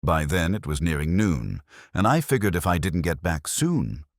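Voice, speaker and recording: Man as a very deep, wry hardboiled detective. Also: big pitch swings, moderate pace, no room sound, clean studio sound. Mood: wry, probing. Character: wry hardboiled male detective's assistant, tough and sardonic. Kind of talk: first-person detective audiobook narration.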